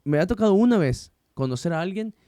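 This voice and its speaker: Mellow, smooth-voiced podcast host. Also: male voice, high-pitched, lively intonation, quick pace, no echo, studio quality. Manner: chatty, slangy, many restarts. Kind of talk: casual podcast conversation.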